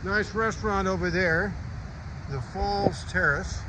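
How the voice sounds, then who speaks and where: A man casually narrating a walk through a park by a waterfall, pointing out sights as he films on a phone. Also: high-pitched. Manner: relaxed, chatty, points things out. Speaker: vlogger filming a park walk. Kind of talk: casual walking tour narration. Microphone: handheld phone camera. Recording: loud waterfall noise outdoors. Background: rushing waterfall.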